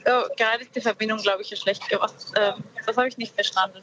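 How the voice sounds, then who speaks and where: A woman's voice: medium pitch, fairly even intonation, fast talker, heavy background noise. A woman from Peru speaks reflectively and hesitantly as a guest on a show, over a lo-fi connection. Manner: hesitant, searching for words. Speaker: caller, guest on a radio show. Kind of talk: casual reflective conversation. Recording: dull, lo-fi connection.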